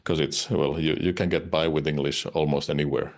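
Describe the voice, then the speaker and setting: A man's voice: a bass voice, fairly even intonation, brisk. A man whose first language is Finnish, speaking English in a casual interview, hesitant, with many uhs and restarts. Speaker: interviewed musician.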